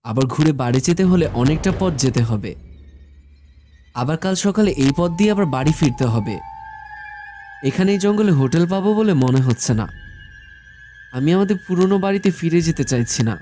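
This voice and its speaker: Mellow male horror-story narrator. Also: fairly high pitch, lively intonation, brisk, pauses often. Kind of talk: scripted first-person horror story narration. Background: background music.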